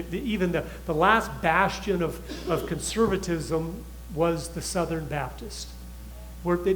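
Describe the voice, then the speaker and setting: High-voiced man speaking urgently. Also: a little hiss or hum, poor audio. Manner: urgent, emphatic, with restarts and retold quotes. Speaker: guest. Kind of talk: impassioned talk show monologue.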